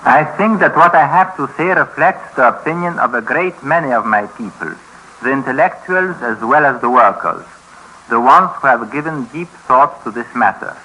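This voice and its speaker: An actor playing a grave, educated escapee from communist Eastern Europe, speaking formally and deliberately in a 1950s radio docudrama. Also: male voice, mid-range voice, lively intonation, pushed and bright, husky. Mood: solemn warning. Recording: noisy vintage radio recording.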